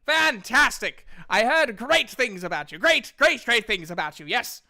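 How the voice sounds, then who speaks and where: Man putting on a high, loud, gushing robot. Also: sing-song, unhurried, heavily acted. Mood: bubbly, gushing. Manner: exclamatory, effusive, repeats himself. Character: peppy, gushing Warforged robot with malfunctioning personality chip. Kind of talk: tabletop roleplay character acting.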